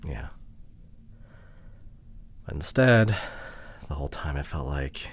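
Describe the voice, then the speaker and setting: A young man playing a hurt, introverted boyfriend in an intimate ASMR audio drama, speaking haltingly in a hushed, breathy, vulnerable way. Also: very deep voice, lively intonation, heavily acted. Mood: hurt, pleading. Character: hurt, frustrated introverted boyfriend pleading with partner.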